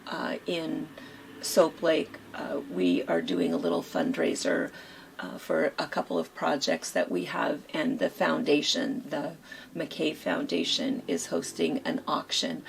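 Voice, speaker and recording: A hoarse woman co-hosting a quilt shop chat, rambling casually with lots of fillers. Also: low-pitched, very animated, measured pace, a little hiss or hum. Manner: chatty, rambling with many fillers.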